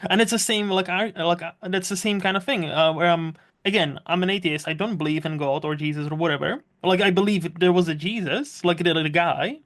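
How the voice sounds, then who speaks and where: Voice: mid-range voice, a little fast, few pauses, fairly bright tone. A podcast host, not originally from Scotland, chatting casually and often laughing, with many "like"s. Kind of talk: casual conversation.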